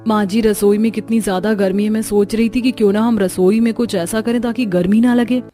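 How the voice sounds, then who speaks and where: A woman's voice: mid-range voice, steady tone, brisk, warm tone, faint music behind, close to an everyday voice. A woman voicing a young bride in a rich household in a cartoon, showing off and self-pitying. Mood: pleading.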